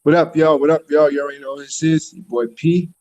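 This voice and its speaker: A man co-hosting a casual podcast, tipsy, ranting in an animated way and swearing freely. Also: on the high side, fast talker, dry recording. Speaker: podcast co-host. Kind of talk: casual chat.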